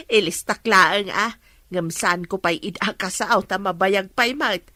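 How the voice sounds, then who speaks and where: An actress playing an older, fretful but gentle village mother in an Ilocano radio drama, with an Ilocano accent. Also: medium pitch, slow, dry recording, clean studio sound. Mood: mild, hesitant.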